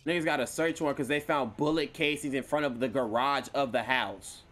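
A man's voice: mid-range voice, steady tone, fairly quick, fairly bright tone. Sarcastic, grumbling male game streamer. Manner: sarcastic, complaining, slangy. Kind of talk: casual profane streaming commentary during online roleplay game.

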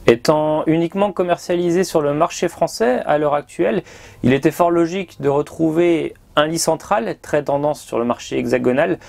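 A man, presenting a vehicle review in a measured, explanatory way into a lapel mic. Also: fairly high pitch, creaky, a little mellow, a little hiss or hum. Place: outdoors by a river and inside a motorhome.

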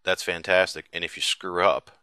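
Very deep-voiced man over music. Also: steady tone, normal speed. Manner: enthusiastic, informal. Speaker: software reviewer. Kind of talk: casual screencast demo narration. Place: indoors, voice-over on a screen recording. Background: music playing behind the voice.

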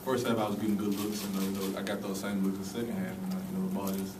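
A young college basketball player answers reporters quickly and offhandedly in a low, flat voice, full of "you know". He sounds far from the mic in an echoey room with background noise. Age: young adult. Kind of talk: postgame press conference answers.

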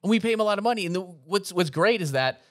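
A man's voice: high voice, expressive, fast, a bit bright, no echo. A man in his forties co-hosting a business podcast, earnest and motivational, repeating his points, on a studio mic in a gym. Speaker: podcast co-host. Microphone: studio mic on boom arm, headphones.

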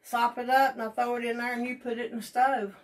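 A woman's voice: fairly high pitch, little pitch movement, quick pace, a little hiss or hum. A woman in her sixties with an Appalachian accent, hosting a casual cooking vlog in her home kitchen, folksy and teasing. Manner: folksy, teasing, laughs often. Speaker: home cooking show host.

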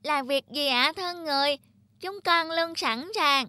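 Woman doing polite little cartoon angel kid, eager, high bright voice. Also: measured pace, no room sound. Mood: eager, respectful.

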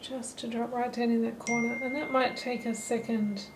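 A middle-aged woman narrating a watercolour lesson while she paints, thinking aloud in a relaxed way. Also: on the high side, normal speed, noisy background. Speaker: watercolour teacher. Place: holiday apartment, at a table indoors. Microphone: camera mic above the table.

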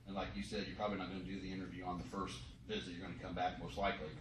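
A man in a workshop audience giving brisk, advising comments. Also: deep voice, flat delivery. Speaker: workshop attendee. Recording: echoey room, far from the mic, noisy.

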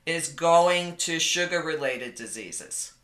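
Bright-voiced woman in her sixties hosting. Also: sits low, slow. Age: 60s. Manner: loud, animated storyteller. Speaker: radio show host. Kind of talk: casual interview chat.

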